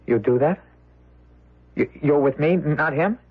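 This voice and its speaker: A man's voice: on the high side, measured pace, husky. An actor playing a restless, frustrated younger man in an old radio drama, terse and agitated. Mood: wary. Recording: muffled, narrow-band old radio broadcast.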